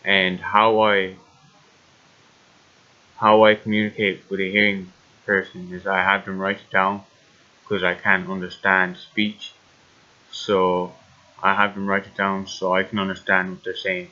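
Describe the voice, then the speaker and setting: A man with an Irish accent speaking slowly and deliberately in the first person. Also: deep voice. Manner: slow, flat and deliberate. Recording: dull lo-fi audio.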